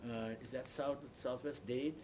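A man's voice: medium pitch, steady tone, a little mellow. Elderly man reminiscing, noisy recording. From Bahamas. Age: 80s or older. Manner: deliberate, halting storyteller, repeats and explains. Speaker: interviewee. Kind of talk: oral history recollection.

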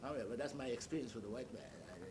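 Older male interviewee, halting storyteller, mid-pitched voice, noticeable rumbling noise on the recording. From Bahamas. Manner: storytelling, halting, searching for words. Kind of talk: oral history reminiscence. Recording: clearly noisy, low rumble behind.

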